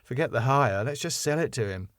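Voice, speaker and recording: A man's voice: mid-range voice, animated, a little fast, no room sound, close to an everyday voice. A man voicing a dry, sceptical, sardonic wife of a club owner in a sitcom script reading. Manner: sardonic, questioning.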